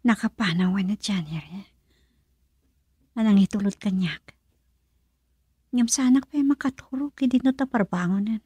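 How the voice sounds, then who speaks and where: A lovestruck young woman who works in a nightclub, brooding and unsure of herself. Her voice is soft-edged, mellow and slightly husky. The recording is close and dry. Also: medium pitch, normal speed, pauses often, no room sound. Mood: wistful, yearning. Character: lovestruck young nightclub worker, wistful and self-doubting. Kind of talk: radio drama monologue.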